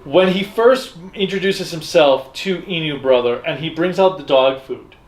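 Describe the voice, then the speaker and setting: A man's voice: fairly high pitch, normal speed. A man co-hosting a fan discussion show with casual recaps and banter. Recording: echoey room.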